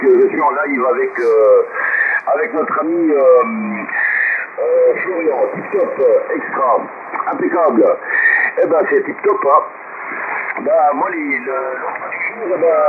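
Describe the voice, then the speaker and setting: A man chatting casually as an amateur radio operator over a two-way radio link, informal and rambling as he passes the microphone around. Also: a little high. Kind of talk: casual radio chat with callsigns and signal reports. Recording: tinny narrowband radio link, heavy static.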